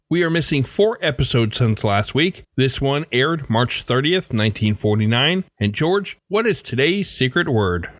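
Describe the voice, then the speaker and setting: A man's voice: medium pitch, no room sound, studio quality. A man hosting a podcast, reading a scripted show introduction. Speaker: podcast host.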